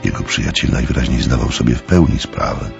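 A man's voice: extremely low pitch, lively intonation, fast, gravelly, lo-fi sound, music in the background. A man narrating a radio play in a scripted, dramatic storytelling style.

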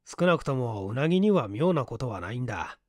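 A man's voice: mid-range voice, sing-song, fairly quick, no echo, clean studio sound, natural voice. A man voicing an easygoing, whimsical male detective with a nonchalant, wry manner in an audiobook story. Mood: playfully evasive. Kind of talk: Japanese audiobook character acting.

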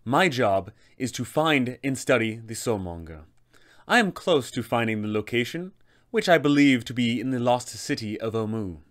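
A man voicing a haughty, scheming elven lich sorceress in a formal, measured, theatrical manner, for a tabletop game villain. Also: mid-range voice, lively intonation, natural voice. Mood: veiled threat.

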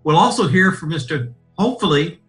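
A man with a bright, forceful voice and a fairly high pitch introduces the speakers at a webinar. He reads their introductions with small hesitations. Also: expressive, fairly quick, soft background music. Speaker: webinar moderator. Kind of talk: event introduction.

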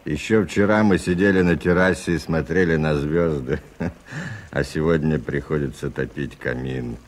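An actor playing a genial, teasing gentleman host by the fireside in an early 1980s radio play, speaking in an unhurried way. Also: a bass voice. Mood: genial, amused.

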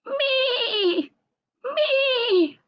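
A tiny storybook lamb bleats in short, desperate cries. The voice leaps very high and shrill, frightened and pleading, in a slightly dull recording. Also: female voice, lively intonation, drawn-out words, full character voice.

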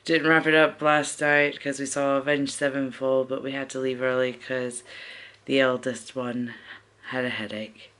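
A woman's voice: very deep voice, level tone, measured pace, a bit bright. A woman in her thirties chatting casually to camera as a family vlogger, teasing and narrating as she goes, into a phone held at arm's length. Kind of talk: casual vlog chat to camera.

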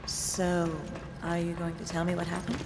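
A woman's voice: fairly low pitch, completely flat delivery, normal speed, long reverb tail, close to an everyday voice. Actress as tough young female action partner, direct and firm, game cutscene under noisy ambience. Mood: curious, wry. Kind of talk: video game cutscene acting.

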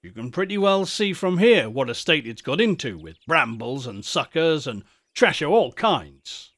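A man's voice: a little high, big pitch swings, a little slow, a bit bright, full character voice. A man voicing a superstitious old shepherd in a storybook, with a rustic English country dialect and a grave, folksy, emphatic way of warning.

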